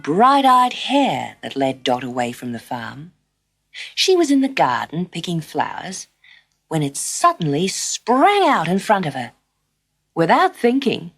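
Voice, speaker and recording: An expressive woman narrates a children's story at a slow, measured pace. Her pitch rises and falls widely, and she leaves dramatic pauses between phrases.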